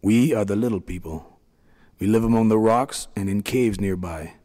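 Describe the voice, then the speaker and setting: Guy doing a soothing little-people chief, lowish gentle voice, echoey room. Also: normal speed, natural voice. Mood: reassuring. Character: kind tiny middle-aged leader of little people.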